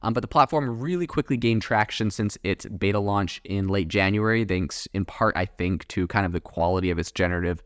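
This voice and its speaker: A man's voice: on the low side, fairly quick, few pauses, fairly bright tone, no echo, clean studio sound. A young man hosting a solo podcast, chatting casually in a rambling way with many fillers and asides. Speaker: podcast host.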